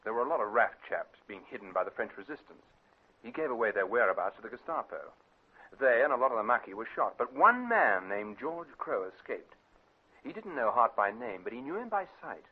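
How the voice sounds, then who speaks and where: Clipped, animated British detective. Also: male voice, medium pitch, fairly quick, husky, phone-quality sound. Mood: explanatory. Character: shrewd, unruffled male private detective. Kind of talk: classic British radio drama acting. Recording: band-limited vintage radio recording.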